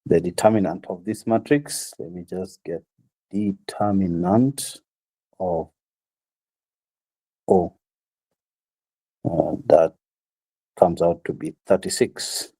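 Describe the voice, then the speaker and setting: A slightly hoarse man giving a university lecture, explaining step by step with frequent pauses and fillers. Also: deep voice, dark tone, rough recording. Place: classroom.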